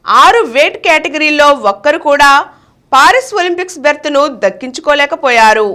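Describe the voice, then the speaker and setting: A woman reads scripted news in a high voice. Her intonation is lively and moves widely from phrase to phrase, in the measured form of a bulletin. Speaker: news reader.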